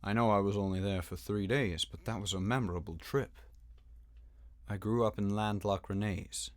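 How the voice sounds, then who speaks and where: A man's voice: on the low side, steady tone, moderate pace, no room sound, close to an everyday voice. A man playing an earnest, dutiful young knight in a game fan dub, speaking in a serious, slightly formal manner. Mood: wistful. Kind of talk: acted game dialogue.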